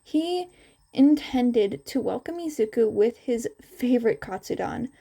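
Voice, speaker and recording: A woman's voice: fairly high pitch, animated, a little slow, vocal fry, mellow. A woman narrating a scripted story aloud as a podfic reader.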